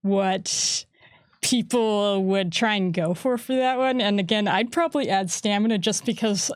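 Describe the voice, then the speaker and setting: Playful lady on a tabletop gaming stream, middle pitch, dry close mic. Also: unhurried, clear, resonant tone, no room sound. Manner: playful, joking, laughs often. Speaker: co-host of a game design stream. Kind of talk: casual live-stream chat.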